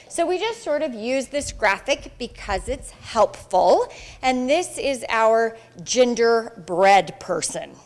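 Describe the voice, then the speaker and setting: Woman w a big voice teaching a class, animated and chatty, kinda high. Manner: animated, chatty, acts out quoted reactions. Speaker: psychology instructor and Pride Center coordinator. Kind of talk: classroom presentation. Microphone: handheld microphone.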